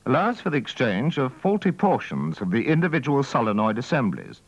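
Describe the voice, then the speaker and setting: A man's voice: mid-range voice, big pitch swings, brisk, dry recording. Man narrating a dull-sounding old training film. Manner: methodical, formal delivery. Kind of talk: scripted instructional narration.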